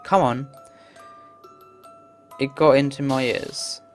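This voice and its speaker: A woman's voice: rumbling low, fairly even intonation, slow pace, soft background music. A woman voicing a drowsy, exasperated, teasing friend in a visual novel, with grumbling retorts. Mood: annoyed.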